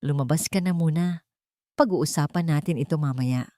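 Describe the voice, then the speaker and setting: A woman's voice: deep voice, moderate pace, mellow, no room sound, clean studio sound, lightly acted. Woman voicing a composed, protective young male boss. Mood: commanding. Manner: composed, defensive, authoritative. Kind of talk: Tagalog radio drama.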